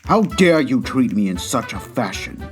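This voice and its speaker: A man's voice: medium pitch, sing-song, normal speed, soft background music, lightly acted. Man playing a haughty, indignant aristocrat. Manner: haughty, affronted, formal. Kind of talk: comic dub character acting.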